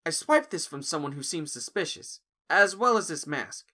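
Man playing lively, nervous young human guy. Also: fairly high pitch, moderate pace, slight background noise. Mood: confident. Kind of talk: cartoon fan audio drama acting.

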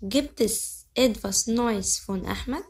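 A young woman with an Egyptian Arabic accent teaching vocabulary. She says each word slowly several times, then explains it quickly in Arabic. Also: fairly high pitch, gravelly, darkish tone. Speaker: language teacher. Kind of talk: vocabulary lesson.

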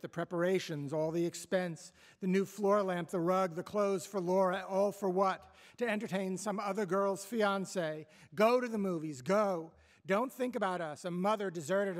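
A man voicing a bitter, scolding middle-aged mother, shouting his reproaches in a dramatic play reading. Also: natural voice. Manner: shouting, reproachful, rising to high cries.

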